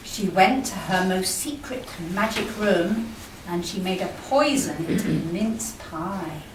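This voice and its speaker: Slow woman narrator in an echoey hall. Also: medium pitch, lively intonation. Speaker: pantomime narrator. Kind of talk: scripted storybook narration. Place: live amateur stage in a hall. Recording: echoey hall, distant mic, clear noise.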